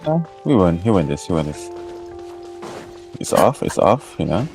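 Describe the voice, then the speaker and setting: A young man with a Malaysian accent chatting casually and excitably while he plays, slipping between English and Malay slang. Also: low-pitched, normal speed. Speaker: game streamer. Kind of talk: casual game stream chat with live commentary. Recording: game music behind the voice. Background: video game music.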